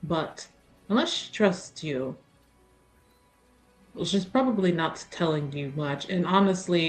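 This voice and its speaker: A woman acts out a candid noblewoman in a fantasy roleplaying game. Her voice sits fairly low and she speaks very slowly, in a dry, thoughtful way. Soft music runs behind her. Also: poor audio.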